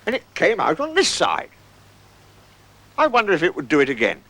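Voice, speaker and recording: Man acting a simple storybook bear, raised pitch, earnest, hesitant, musing, halting. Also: huge pitch swings, sharp tone, full character voice. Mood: puzzled.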